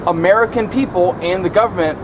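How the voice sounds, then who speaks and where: A man's voice: high voice, fast talker. A man stopped on the street, questioning a preacher politely but persistently. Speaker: passer-by. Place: city street outdoors. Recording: noisy street with crowd.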